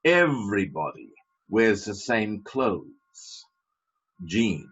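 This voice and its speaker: A man's voice: fairly low pitch, sing-song, very slow, fairly bright tone, breathy edge. A man preaching a sermon as a pastor, emphatic, with wry asides. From Britain. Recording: dull lo-fi sermon recording.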